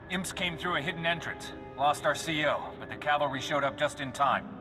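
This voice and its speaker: A man's voice: fairly high pitch, animated, brisk, over music, lightly acted. A man playing an earnest soldier in a video game, giving a terse, urgent field report. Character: earnest male soldier reporting to his general. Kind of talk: dramatic video game dialogue.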